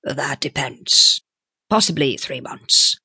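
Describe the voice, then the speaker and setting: A prosperous, shifty man of business as a woman reader plays him in an audiobook. The voice sits low and breathy, close and dry. He talks in a measured, oily, formal way. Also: animated, pushed and bright, dry recording, heavily acted. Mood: smooth. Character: sleek, shifty, smooth-talking prosperous businessman. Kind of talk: audiobook character voice.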